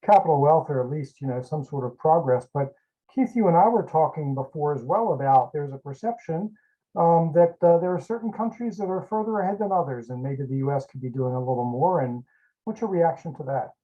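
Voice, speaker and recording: Man moderator, mellow tone, conversational; dull lo-fi video-call sound. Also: fairly high pitch, lively intonation, fairly quick. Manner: conversational, questions with fillers. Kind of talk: virtual panel moderating.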